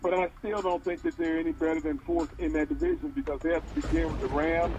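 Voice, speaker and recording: A man's voice: fairly high pitch, little pitch movement, quick pace, a little mellow, dull audio, a little hiss or hum. A man co-hosting a casual sports talk show, giving short, confident verdicts on where teams will finish.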